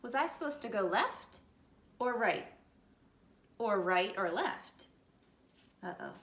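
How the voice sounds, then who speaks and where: A woman's voice: on the high side, very animated, takes it slowly, fairly bright tone, full character voice. An eager young storybook bunny boy, played by a woman, scatterbrained and full of questions. The voice has a noticeable room echo. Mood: unsure.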